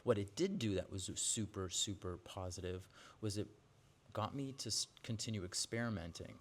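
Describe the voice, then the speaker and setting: A man's voice: on the low side, animated, normal speed. A man in his forties, a yoga teacher, talking candidly in a casual vlog, with frequent "like"s and restarts. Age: 40s. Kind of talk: casual vlog monologue to camera. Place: outdoors with birds nearby.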